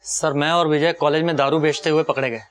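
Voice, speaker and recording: A man's voice: on the high side, steady tone, fairly quick, lightly acted. An actor with an Indian accent playing an aggrieved young man in a TV crime drama, explaining things resentfully. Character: aggrieved young man recounting a friend's betrayal to police. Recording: music underneath.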